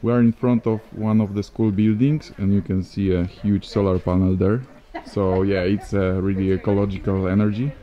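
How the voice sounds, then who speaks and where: A man's voice: fairly low pitch, flat delivery, moderate pace, few pauses, muffled recording. A man from Poland, chatting as a travel vlogger while walking through a remote village. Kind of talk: casual walking-tour commentary. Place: outdoors in a hot remote village.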